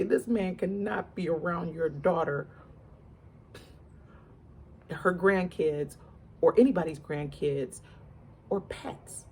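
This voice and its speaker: Lower-voiced woman gossiping over background noise. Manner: conversational, emphatic repetition, addresses viewers directly. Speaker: online commentator. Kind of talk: casual celebrity gossip commentary.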